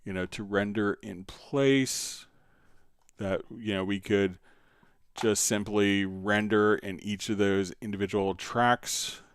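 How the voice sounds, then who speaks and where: Male livestream instructor, lowish clean voice, conversational step-by-step delivery, close dry sound. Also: steady tone, slow, clear, resonant tone, dry recording. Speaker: livestream host and software instructor. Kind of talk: casual live tutorial, answering viewer questions.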